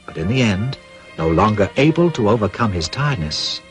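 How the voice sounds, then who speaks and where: A man with a low voice narrates a children's cartoon tale from a script. His pitch moves in a lively way, with faint music behind him. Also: fairly quick. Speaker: storybook narrator.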